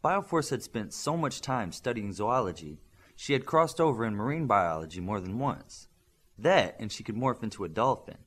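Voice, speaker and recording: A man's voice: fairly low pitch, very animated, moderate pace, a bit bright. A man reading a scripted fiction story as an audiobook narrator.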